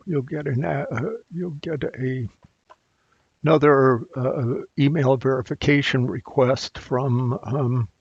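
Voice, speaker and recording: A man presenting an unscripted technical screencast tutorial, thinking aloud in a halting way as he goes. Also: mid-range voice, gravelly, a little mellow, breathy edge, no room sound, highs cut off. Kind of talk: unscripted software screencast walkthrough.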